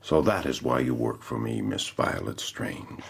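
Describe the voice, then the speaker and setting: An actor playing a probing, dryly curious male detective chief in a radio drama, with a deep, gravelly, husky voice. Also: unhurried, exaggerated voice. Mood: dry, knowing.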